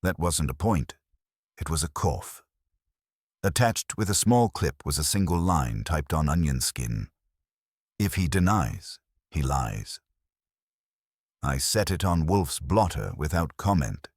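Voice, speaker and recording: A man's voice: a bass voice, animated, unhurried, a little mellow, husky, no echo, studio quality. A man reading a scripted detective story as narrator, in a wry first-person hardboiled manner.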